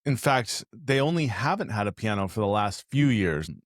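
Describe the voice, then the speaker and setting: A man's voice: on the low side, expressive, moderate pace, dry recording, studio quality. A man from Southern California hosting a casual interview podcast, chatty and joking as he rambles. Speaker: podcast host.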